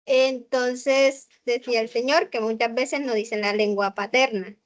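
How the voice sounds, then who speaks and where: A young Colombian woman student answering on a video call, halting with frequent fillers and restarts. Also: a little high, steady tone. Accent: Colombian Spanish. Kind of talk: hesitant class answer.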